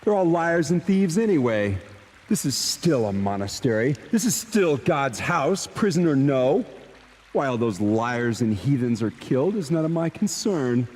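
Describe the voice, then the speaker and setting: A husky, haughty bishop, self-righteous and quick to dismiss others. His pitch swings widely as he scoffs, with a long reverb in the stone hall around him. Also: sing-song, darkish tone. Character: stern, self-righteous man of the church, a bishop. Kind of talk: video game cutscene acting.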